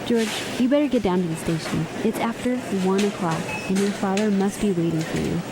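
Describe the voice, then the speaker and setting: A woman's voice: mid-range voice, fairly quick, background noise, natural voice. A woman acting in a radio drama as a kind young woman who carries an old heartache. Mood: friendly, light.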